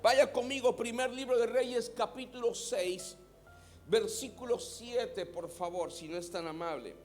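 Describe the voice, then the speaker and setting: A man's voice: very high-pitched, measured pace, soft background music. A man with a Mexican Spanish accent, preaching a sermon as pastor and having the congregation repeat words after him.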